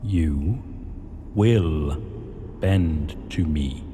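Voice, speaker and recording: A man playing an imperious, possessive dragon king in a fantasy ASMR roleplay, speaking in a commanding, deliberate and haughty manner. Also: rumbling low, warm tone, heavily acted. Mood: possessive, pleased. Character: imperious male dragon king, menacing, possessive, slyly amused. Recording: long hall reverb, faint background noise.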